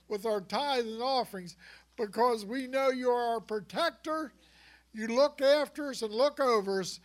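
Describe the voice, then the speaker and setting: High male voice, reverent spoken prayer, short devotional phrases, church prayer leader. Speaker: church member leading prayer.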